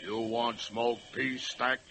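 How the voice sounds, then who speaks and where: An actor playing a proud talking locomotive who poses as a chief, declaiming in stilted broken English in an old radio play. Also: male voice, mid-range voice, flat delivery, slow, sharp tone. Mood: solemn. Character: proud, boastful male locomotive posing as stereotyped chief. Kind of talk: 1940s radio play acting. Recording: dull, hissy old radio recording.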